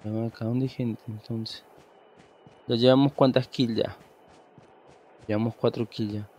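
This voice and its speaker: A man's voice: medium pitch, level tone, unhurried, dark tone, muffled recording, slight background noise. Chatty Venezuelan man streaming a game. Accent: Venezuelan Spanish. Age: 30s. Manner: chatty, easygoing, rambling with restarts. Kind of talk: casual gameplay chat. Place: small room at home. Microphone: headset.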